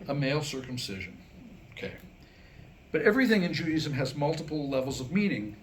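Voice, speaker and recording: A man's voice: on the high side, big pitch swings, brisk, slight background noise. A man delivers a humorous scripted monologue to a live audience in a wry, mock-scholarly way, posing rhetorical questions. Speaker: comedic speaker reading his own script. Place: live reading before a small audience.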